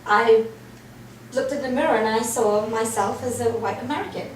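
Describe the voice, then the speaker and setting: A woman from Trinidad tells her personal story in an interview, animated and lively as she quotes what people said to her. Her voice sits a little high. It sounds distant, with heavy echo off the room and faint background noise. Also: normal speed. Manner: animated, acts out quoted reactions. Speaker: interview guest.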